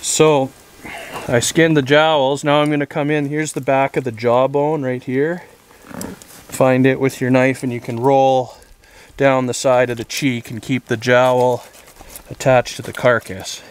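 A man's voice: medium pitch, flat delivery, measured pace. A man working as a butcher, giving a plain, practical step-by-step demonstration outdoors on a farm while he works. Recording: outdoor recording with generator noise.

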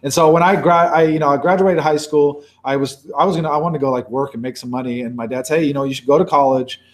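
A man's voice: a little high, fairly even intonation, fast. A man in his forties, a sea turtle researcher from Baja California, Mexico, talking casually with many restarts and self-corrections. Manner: rambling, many restarts, conversational. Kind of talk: casual talk and Q&A.

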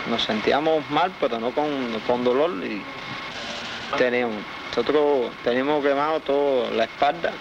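A young Cuban man giving first-person testimony as a war veteran, speaking haltingly in short phrases with frequent pauses. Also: mid-range voice. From Cuba. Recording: dull, noisy old newsreel film soundtrack.

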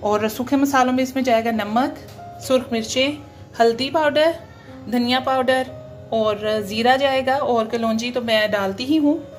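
A woman's voice: fairly high pitch, soft background music. Chatty woman explaining a home recipe. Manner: homely, step-by-step explaining with frequent "um" pauses. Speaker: cooking channel host. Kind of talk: home cooking demonstration voice-over.

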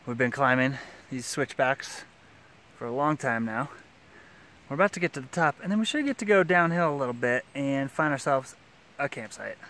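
A man's voice: mid-range voice, animated, moderate pace, a bit bright. A man in his thirties chatting casually while hiking outdoors on a forest trail, filmed on a handheld camera at arm's length. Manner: upbeat, chatty, out on the move. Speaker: vlogger hiking a long trail. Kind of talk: casual vlog narration.

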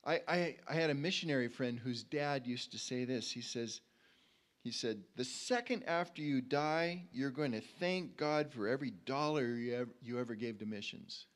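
Older man preaching in a conversational, halting way. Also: medium pitch. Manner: conversational, halting, repeats words as he goes. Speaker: preacher at a community church. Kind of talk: informal sermon with personal anecdotes. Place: indoor church stage. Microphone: handheld microphone.

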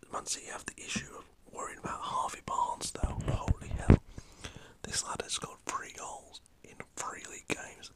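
A man's voice: extremely high pitch, rough recording. A man from the north of England with a northern English accent, whispering a rambling, chatty football talk for an ASMR channel. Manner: rambling, chatty, swears mildly. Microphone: camera set up in front of him.

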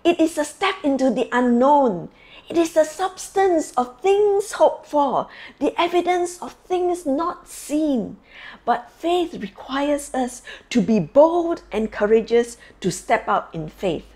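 A middle-aged woman pastor giving a sermon in a deliberate, emphatic way with measured pauses. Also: high-pitched, expressive, darkish tone. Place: small quiet office, indoors. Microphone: lapel mic.

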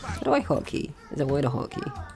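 A man's voice: a little high, huge pitch swings, quick pace, darkish tone, soft background music. A man doing casual let's play commentary, with playful asides and game text read aloud. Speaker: gaming video host.

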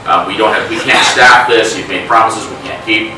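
A middle-aged man leading an interactive talk, animated, gesturing and filling his speech with asides and fillers. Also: on the low side, normal speed. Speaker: speaker. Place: hotel meeting room with a small group. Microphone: lapel mic, filmed from across the room. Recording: echoey room, from a distance, faint hiss.

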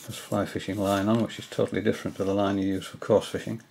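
An older man at home giving a hesitant, casual tutorial, often pausing with fillers as he shows his reels. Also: fairly low pitch, little pitch movement, creaky, background noise. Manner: hesitant, many fillers and restarts. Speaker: angling hobbyist giving a tutorial. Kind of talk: casual explanatory demonstration. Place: indoors, small room at home.

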